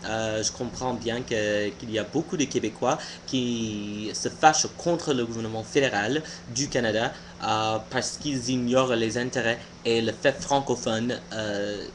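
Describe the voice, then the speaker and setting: A young American man, a native English speaker, giving an informal opinion monologue haltingly, searching for words. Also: mid-range voice, fairly even intonation, slight background noise. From United States. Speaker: video blogger.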